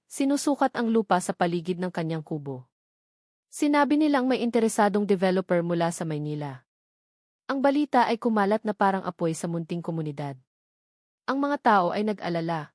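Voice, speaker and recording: Female Filipino storyteller for dramatic audiobook narration, mid-pitched, measured delivery, dry recording. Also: a little mellow, no room sound.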